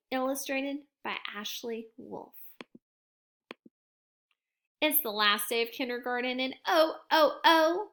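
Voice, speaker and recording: Slow, bright-voiced woman reading a storybook. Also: a little high. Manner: gentle, expressive storytime reading. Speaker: kindergarten teacher. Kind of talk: reading a picture book aloud to children.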